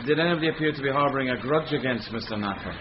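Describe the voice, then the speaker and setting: An actor playing a polite, earnest, deferential police sergeant in a radio drama. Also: male voice, medium pitch, rapid-fire, lightly acted. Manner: courteous, deferential. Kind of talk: British radio drama acting. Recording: dull, noisy radio drama recording.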